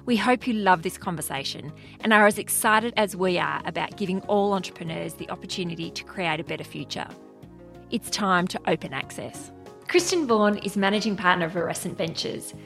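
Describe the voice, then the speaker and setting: A woman podcast host with a slightly low voice, reading her scripted introduction in a clear, measured way before moving into friendly interview questions. Faint music sits under the opening. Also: a bit bright.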